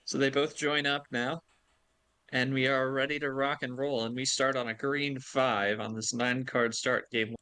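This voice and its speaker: A man's voice: mid-range voice, flat delivery, normal speed, pushed and bright, clean tone, no echo. A young man commentating an online card game in a casual, upbeat way.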